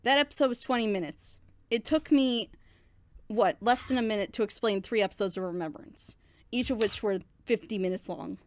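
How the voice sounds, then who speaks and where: A woman's voice: medium pitch, moderate pace. A woman reviewer speaking in a casual, opinionated way, with emphatic, rambling explanations and rhetorical questions. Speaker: reviewer on a reaction show.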